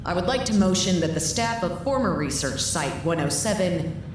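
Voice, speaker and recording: A weary, frank woman leader played by an actress in an audio drama, with a very low voice and lively intonation. She is dry and blunt. The sound is heavily echoey, with clear noise behind her. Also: normal speed, lightly acted. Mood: rousing.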